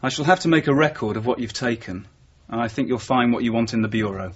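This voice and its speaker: An actor playing a dry, guarded detective sergeant in a radio drama, speaking in a terse, understated way. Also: male voice, medium pitch, fast, breathy edge, close to an everyday voice. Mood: businesslike. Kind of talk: naturalistic radio drama acting. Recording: dull old radio broadcast recording.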